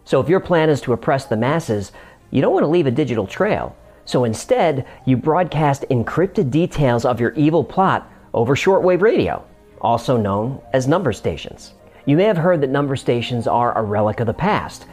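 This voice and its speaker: A man's voice: mid-range voice, a little mellow. A man narrating a scripted explainer as host, with a wry manner and dry asides.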